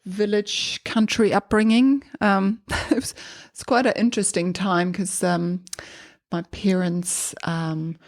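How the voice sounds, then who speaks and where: A woman from Germany with a German accent, a podcast guest talking in a relaxed, thoughtful way with many small pauses. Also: medium pitch, dry recording, clean studio sound. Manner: thoughtful, hesitant with many ums. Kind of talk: casual interview chat.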